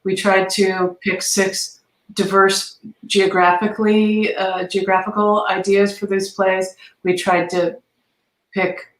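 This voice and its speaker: A woman's voice: medium pitch, level tone, slow. A woman giving enthusiastic, conversational answers as a guest in an interview. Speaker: guest, theatre arts advocate. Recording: roomy, echoey sound.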